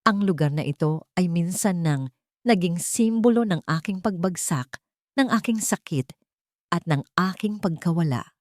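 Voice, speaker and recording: A woman's voice: lowish voice, expressive, measured pace, a little mellow, no echo, studio quality. A woman reading a first-person story as scripted audiobook narration, in an earnest, dramatic storytelling manner.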